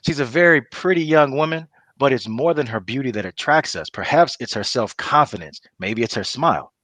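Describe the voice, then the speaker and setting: A man with a mid-pitched voice narrates scene directions in a dry recording. His delivery is informal, like someone casually telling a story. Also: lively intonation, brisk, a bit bright, dry recording.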